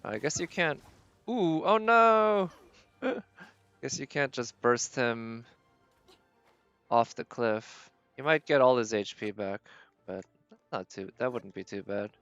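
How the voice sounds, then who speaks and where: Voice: medium pitch, expressive, slow pace, fairly bright tone, no room sound, lo-fi sound, faint music behind. A game livestream host giving casual live commentary, thinking aloud in a tentative, musing way.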